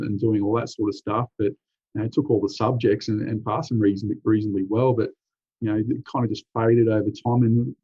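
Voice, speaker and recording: A man in his forties with an Australian accent, an easygoing veteran telling his story in a relaxed, chatty way. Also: on the low side, slightly rough. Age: 40s. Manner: easygoing, uses "mate", frequent "um" and "you know". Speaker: military veteran guest. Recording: dry, slightly dull lo-fi recording.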